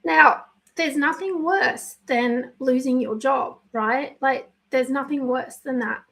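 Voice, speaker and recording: A woman with a husky, slightly worn voice that still sits fairly high, talking to viewers in an unscripted live video. She goes slowly and pauses often, picking her words carefully and apologizing along the way, like a coach talking things through. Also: a bit bright. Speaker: life coach. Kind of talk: spontaneous live video talk.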